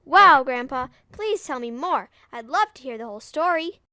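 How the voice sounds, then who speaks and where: A woman voicing an eager, excitable young boy in a children's cartoon, with a high, childlike voice. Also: normal speed, no echo, clean studio sound. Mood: excited, curious. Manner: excited, exclaiming. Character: eager wide-eyed young boy listening to grandpa.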